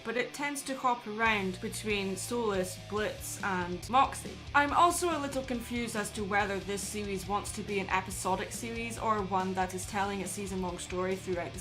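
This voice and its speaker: A woman delivering scripted opinion commentary as a video essayist, in a measured way with conversational asides. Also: on the high side, poor audio. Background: background music.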